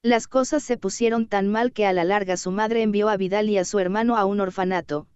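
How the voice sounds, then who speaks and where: A woman narrating an audiobook in a steady, even reading voice. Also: medium pitch, brisk, clear, resonant tone, no echo, lo-fi sound.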